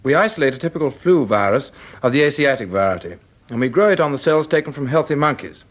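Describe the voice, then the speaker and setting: A man's voice: mid-range voice, lively intonation, fast, lightly acted. An actor as an earnest, precise male scientist. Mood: obliging, explanatory. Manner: polite, precise, explanatory. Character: obliging, earnest male vaccine scientist explaining carefully. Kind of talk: radio drama acting.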